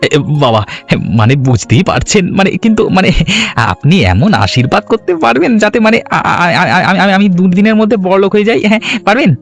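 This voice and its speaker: A man voicing an excitable, gullible village husband in a cartoon, often flustered and stammering. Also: high voice, fairly quick, gravelly, soft background music. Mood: hopeful, stammering. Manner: stammering, flustered, repeating filler words. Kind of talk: Bengali cartoon story voice acting.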